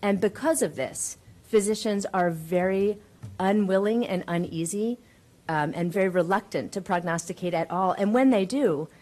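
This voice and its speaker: A woman testifying as a medical expert at a public hearing, delivering prepared remarks in a measured, precise way. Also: medium pitch, a little mellow. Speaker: expert witness, professor of medicine. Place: hearing room.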